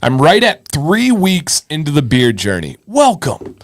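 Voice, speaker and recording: A man's voice: on the high side, wildly swooping pitch, measured pace. A man hosting a live advice show in casual chat, informal and encouraging, thinking aloud and repeating himself.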